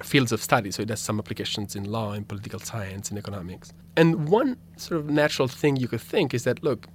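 A man's voice: on the low side, a little hiss or hum. A young man, a native Italian speaker with an Italian accent, explaining his philosophy research as a radio interview guest, thoughtful, with frequent restarts. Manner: thoughtful, many restarts and fillers. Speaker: philosophy graduate student guest.

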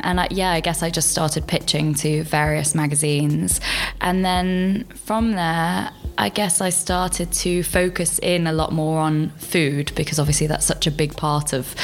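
A woman in her thirties who grew up between the UK and Greece, a food and travel writer talking casually in an interview, chatty and quick to laugh at herself. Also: low-pitched. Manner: chatty, laughs often, self-deprecating.

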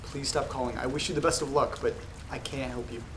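A man acting in a horror audio drama as an uneasy letter reader, telling things in a subdued, measured way with dramatic pauses. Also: mid-range voice, steady tone, natural voice. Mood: wary, exasperated. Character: uneasy man reading creepy letters aloud alone. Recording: roomy sound with rain and noise. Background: rain and thunder ambience.

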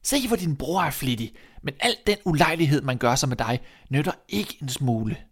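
A man's voice: medium pitch, lightly acted. A man voicing a stern, exasperated father in a fairy tale, blunt and scolding. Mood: weary, exasperated.

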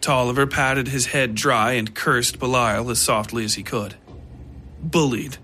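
A man narrating a dark fiction story in a deliberate, theatrical way. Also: mid-range voice, slight background noise. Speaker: narrator of a horror fiction podcast. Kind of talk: scripted dramatic story narration. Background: music behind the voice.

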